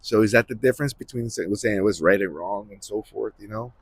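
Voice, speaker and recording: A man with a husky voice giving a rambling, unscripted spiritual monologue, emphatically repeating phrases for stress as he hosts. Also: lowish voice, fairly quick, no room sound. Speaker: host of a spiritual series.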